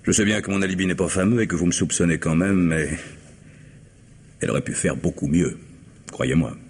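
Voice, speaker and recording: Suspected husband: deep voice, formal, measured, reticent; radio mystery with faint recording noise. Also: male voice, darkish tone. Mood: weary, defensive. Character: guarded, formal bourgeois husband under suspicion. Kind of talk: French radio mystery drama acting. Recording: noisy old radio drama recording.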